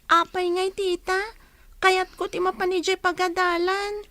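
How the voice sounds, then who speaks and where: A woman's voice: very high-pitched, flat delivery, unhurried, bright, forceful tone, heavily acted. A woman playing a plaintive, childlike young orphan girl in a radio drama.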